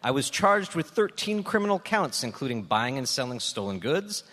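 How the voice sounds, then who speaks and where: A man's voice: medium pitch, very animated, moderate pace, pushed and bright. A man on a live comedy panel, reading out clues as a guest. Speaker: political editor as panelist. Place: live stage before an audience. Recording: echoey hall.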